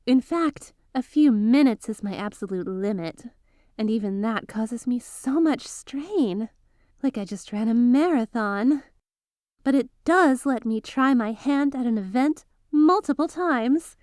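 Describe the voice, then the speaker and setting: Woman acting a high-voiced, eager magical girl mentor. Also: animated, unhurried, darkish tone, no room sound, studio quality. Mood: earnest, instructive. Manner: chatty, eager, teacherly, addresses listener directly. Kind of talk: ASMR roleplay, scripted character acting.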